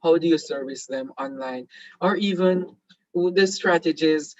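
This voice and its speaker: Jamaican man, seminar host, slightly high voice, hesitant with lots of ums, dry muffled sound. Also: a little slow, darkish tone, no room sound. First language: Jamaican Patois. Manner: hesitant, many fillers and restarts. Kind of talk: online seminar conversation.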